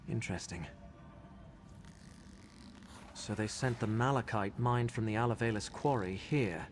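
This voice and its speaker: A man's voice: on the low side, natural voice. Man playing an earnest adventurer, noisy backdrop. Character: earnest adventuring companion scholar, protective and inquisitive man. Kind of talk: video game dialogue.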